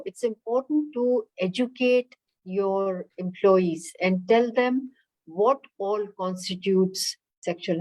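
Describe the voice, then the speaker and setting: A woman instructor explaining workplace rules on a webinar video call, measured and explanatory, pausing often on "uh" and "you know". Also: medium pitch, darkish tone, rough recording.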